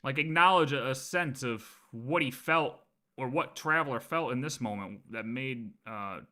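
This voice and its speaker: A man's voice: medium pitch, normal speed, pushed and bright. A man giving casual, slangy reaction commentary as a game streamer. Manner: informal, slangy.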